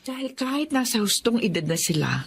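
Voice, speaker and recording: A woman's voice: fairly high pitch, big pitch swings, brisk, vocal fry, slight background noise. An actress playing a worried older widowed mother of grown sons in a radio drama, speaking with a Filipino accent in a weary, pleading way.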